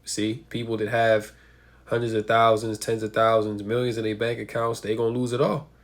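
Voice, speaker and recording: A man's voice: lowish voice, little pitch movement, normal speed. A man with a stuffy nose from a cold, giving emphatic preaching commentary and asking rhetorical questions. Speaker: religious commentator.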